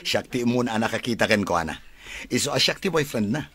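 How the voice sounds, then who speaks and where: A man's voice: mid-range voice, expressive, normal speed, sharp tone, breathy edge. A man playing a brash, impatient young man in a radio drama, with an Ilocano accent. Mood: mocking.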